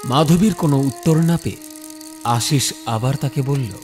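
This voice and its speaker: A man's voice: mid-range voice, moderate pace, a little mellow, slight background noise. A man giving a dramatic scripted narration as the storyteller of a horror tale. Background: music bed.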